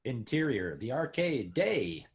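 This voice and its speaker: A man's voice: mid-range voice, sing-song, slow, gravelly. A man announcing scene headings and character captions in scripted narration.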